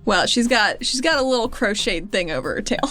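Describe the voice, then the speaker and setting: Mid-pitched woman describing her game character. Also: expressive, brisk, bright, forceful tone, soft background music. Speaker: player on a tabletop RPG podcast.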